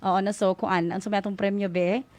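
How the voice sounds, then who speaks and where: A woman's voice: mid-range voice, level tone, brisk, darkish tone, no echo. A Filipino woman with a Visayan accent hosting a school-on-the-air session informally, mixing English and Visayan and often adding "no?" as a tag. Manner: switches between English and Visayan, frequent "no?" tags. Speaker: host of a radio school program.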